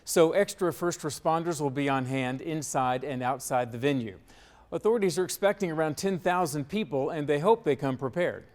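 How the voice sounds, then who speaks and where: A man's voice: a little high, normal speed, darkish tone. A man with an American accent reading scripted local news as a television anchor in a studio.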